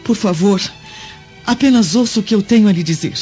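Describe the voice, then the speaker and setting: A man voicing an anxious, devoted senator's wife in an audio drama, speaking gently, earnestly and formally. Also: high voice, a little fast, natural voice. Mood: anxious, reassuring. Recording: dull lo-fi audio, music behind. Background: background music.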